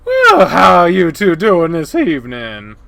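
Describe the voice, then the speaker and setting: A brash old coyote woman, acted out by a man, pitched well up and loud, swinging widely as she chats. She is obnoxious, manic and over-friendly, like a game character. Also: huge pitch swings, measured pace, gravelly, no echo, heavily acted. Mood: chatty, friendly.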